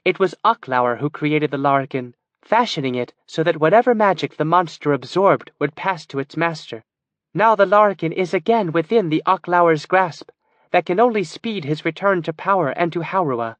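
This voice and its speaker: A man's voice: on the high side, expressive, normal speed, fairly bright tone, no echo, pitched above the performer's own voice. Kiva, a man voicing a stern elf sorceress. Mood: urgent. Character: proud, stern female elf wizard.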